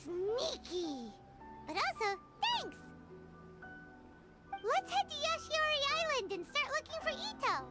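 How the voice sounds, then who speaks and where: A woman voicing a tiny, naive, childlike fairy companion in a video game, squeaky and exclamatory. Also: big pitch swings, slow pace, pauses often, full character voice. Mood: eager. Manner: exclamatory, speaks of self in third person. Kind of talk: animated video game voice acting. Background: background music.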